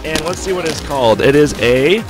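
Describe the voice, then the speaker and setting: A man with a rough, hoarse voice from being sick, commentating a fighting game tournament and breaking down combos. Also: fairly high pitch, expressive, over music, low-quality recording. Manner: fast, analytical breakdowns of combos. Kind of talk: casual esports commentary.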